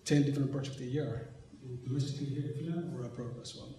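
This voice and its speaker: A man's voice: mid-range voice, fast talker, crowd noise. A man in his forties from Somalia, speaking English as an in an interview, hesitant with many fillers and restarts. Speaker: interviewee, organization representative. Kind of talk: conversational interview. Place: conference venue, indoors. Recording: echoey room sound.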